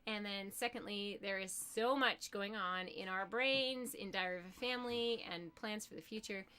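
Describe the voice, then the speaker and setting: A woman's voice: medium pitch, normal speed, sharp tone, smooth. A woman co-hosting a family vlog livestream, greeting viewers in a bubbly way and asking her guest questions. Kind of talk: livestream chat.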